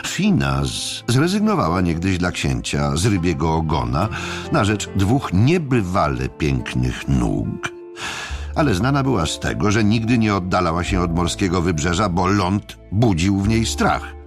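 A man's voice: deep voice, big pitch swings, unhurried, music in the background. A man narrating an audiobook drama from a script, with theatrical, wry storytelling.

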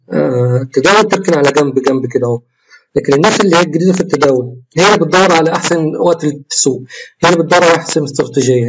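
Hoarse Egyptian man, fast rambling trading talk. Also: fairly high pitch, a little hiss or hum, low-quality recording. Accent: Egyptian Arabic. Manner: rambling, self-correcting, explains while trading live. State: tired, slightly hoarse voice. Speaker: trading tutorial host. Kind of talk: screen-recorded trading walkthrough.

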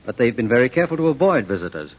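An earnest young Scotland Yard man in vintage radio drama. His medium-pitched voice is slightly rough, courteous and thoughtful, with quiet persistence. The recording sounds muffled. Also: very animated, very fast. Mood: wistful, curious. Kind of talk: 1940s radio drama acting. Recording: muffled old radio broadcast.

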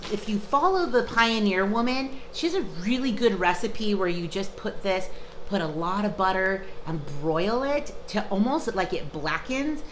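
Bright-voiced chatty woman in her fifties. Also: medium pitch, a little hiss or hum, poor audio. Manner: chatty and upbeat, with frequent fillers and restarts. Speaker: vlogger showing a grocery haul. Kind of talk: casual chat to camera. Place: home kitchen, indoors. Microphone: camera close up, in front of her. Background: ice machine running.

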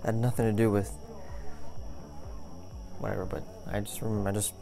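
A young man acting as a caring, goofy boyfriend in an intimate ASMR roleplay, talking in a rambling, halting way. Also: fairly low pitch, level tone, vocal fry. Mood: amused, chatty. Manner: rambling, halting, chuckling storyteller. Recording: music playing behind the voice.

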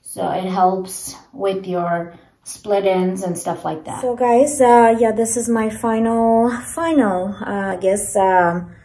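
A woman in her thirties chatting casually through a home hair tutorial, with many fillers and a gentle, way of talking, filmed on a propped phone in a small bedroom. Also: mid-range voice, fairly even intonation, takes it slowly. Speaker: beauty vlogger. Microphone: phone camera propped low. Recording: echoey small room.

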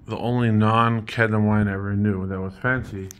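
A man's voice: on the low side, fairly even intonation, normal speed, fairly bright tone. A man interviewing someone casually indoors, informal and thinking aloud as he goes, recorded on a phone. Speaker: interviewer, beverage seller. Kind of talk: casual chat, interview questions. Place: indoor room at a table.

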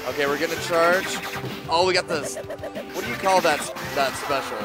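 A man's voice: fairly high pitch, moderate pace. A man doing casual live co-commentary on a video game match. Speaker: esports tournament co-commentator. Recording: music behind, live event stream. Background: game music.

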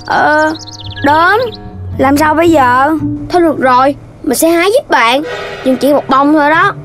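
A woman's voice: high voice, measured pace. A woman voicing a young, playful, boastful animal child in a children's cartoon, with a southern Vietnamese accent. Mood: worried. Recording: loud music under the voice.

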